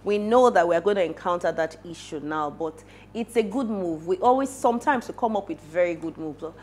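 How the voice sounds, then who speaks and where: A woman's voice: on the low side, animated, a little fast, a little mellow, a little hiss or hum. A woman in her thirties with a Nigerian accent, reviewing and commenting on news as a talk show panelist in a studio. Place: TV studio, at a desk.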